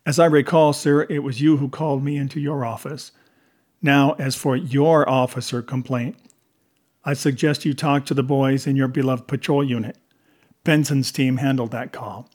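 A man's voice: mid-range voice, steady tone, normal speed, darkish tone, natural voice. A man voicing a defiant, sardonic police detective with a clipped, confrontational manner in an audiobook. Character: defiant, self-controlled male police detective, sardonic with superiors.

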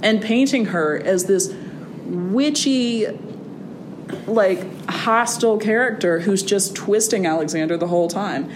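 Wry, animated young woman with background rumble. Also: a little slow. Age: young adult. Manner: animated, wry, frequent fillers and restarts. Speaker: guest, classics student. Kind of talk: casual interview conversation. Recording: audible background noise with a low rumble.

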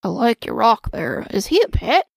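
A woman's voice: on the high side, huge pitch swings, moderate pace, vocal fry, dry recording, studio quality, heavily acted. A woman doing a folksy stranger. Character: friendly tall man with long hair, a shopkeeper type.

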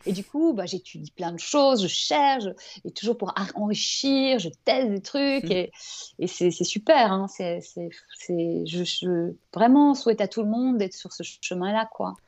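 A woman's voice: medium pitch, animated, measured pace, darkish tone, muffled recording. A woman from Belgium chatting as an interview guest in an enthusiastic, emphatic, rambling way about her ideas, in a casual motivational style. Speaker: interview guest, author of a self-help book.

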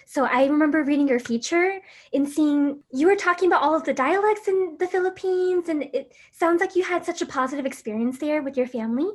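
Woman, high bright pitch, interviewer, attentive, encouraging. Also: brisk, hardly any pauses. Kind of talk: casual conversation.

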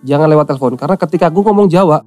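Young man from Central Java, lively slangy storyteller, slightly high voice, faint music behind. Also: very fast. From Central Java, Indonesia. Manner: animated, slangy, acts out quoted voices. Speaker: guest actor telling his story. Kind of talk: casual podcast chat, storytelling.

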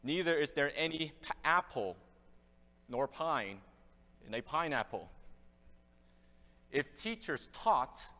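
A man's voice: fairly high pitch, pushed and bright. A male pastor from Canada, preaching an earnest, conversational expository sermon with frequent restarts. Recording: reverberant church hall.

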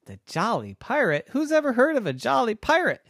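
A woman's voice: fairly high pitch, huge pitch swings, brisk, breathy edge, dry recording, clean studio sound, voice pushed up, full character voice. A woman doing a cranky old giant squid. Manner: grumpy, scolding.

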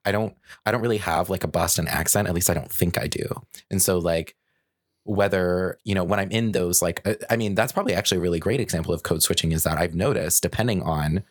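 Male podcast host, very low register, casual and reflective, dry studio-tight sound. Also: fast talker, no room sound. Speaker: podcast host and design researcher. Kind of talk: casual conversational chat.

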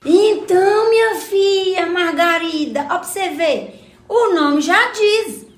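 A woman's voice: very high-pitched, slow pace, reverberant. A woman playing a spirited, wise older grandmother with a Minas Gerais Brazilian accent, explaining things in a folksy, motherly way in a radio drama. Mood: patiently explaining. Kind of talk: scripted community radio drama.

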